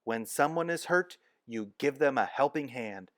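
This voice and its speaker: A man voicing a calm, firm woman nurse in a storybook read-aloud, measured and gentle. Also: medium pitch, no echo, studio quality, lightly acted.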